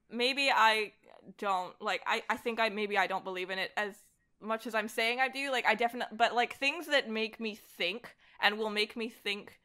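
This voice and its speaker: A young woman talking casually as a podcast guest, in an animated, rambling way peppered with "like". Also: medium pitch, smooth. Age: young adult. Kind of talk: casual conversation about comics.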